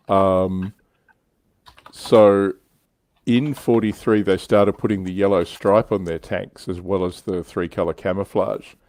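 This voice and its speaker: Low, dry-sounding man hosting casually. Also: a little mellow, no echo. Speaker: livestream host. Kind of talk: casual hobby livestream chat.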